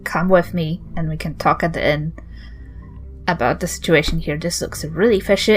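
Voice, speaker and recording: A woman's voice: sits low, voice pushed down, poor audio. A woman playing a protective old granny in a tabletop game, blunt, insistent and indignant.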